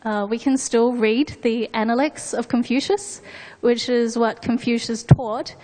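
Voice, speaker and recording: A woman's voice: a little high, fairly even intonation, measured pace, a little mellow. A woman from New Zealand with a New Zealand and Australian English accent, giving a formal, rehearsed conference presentation with a co-presenter. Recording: long hall reverb.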